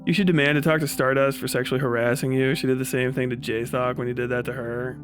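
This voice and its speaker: A man casually chatting and reacting on a livestream, with halting, slangy, profane speech. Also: medium pitch, flat delivery, music in the background. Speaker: livestream host.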